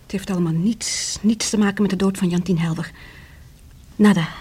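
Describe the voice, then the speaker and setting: A woman's voice: mid-range voice, steady tone, moderate pace, mellow, husky. An actress in a Dutch radio play as a proud, defiant woman being questioned by police, speaking formally with a sardonic edge. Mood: defiant, irritated.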